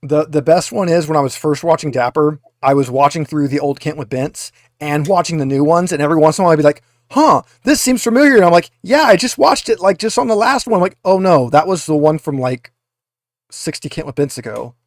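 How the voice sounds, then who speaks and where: Guy game mastering, slightly high voice, chatty and halting, pretty dry sound. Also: no echo. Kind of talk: narrating a tabletop roleplaying game.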